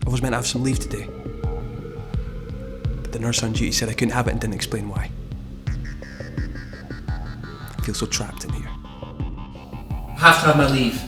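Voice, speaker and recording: A man's voice: medium pitch, fairly even intonation, normal speed, pauses often, natural voice. A young man playing an agitated, frustrated detained patient in a training drama. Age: young adult. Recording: echoey, distant, music behind.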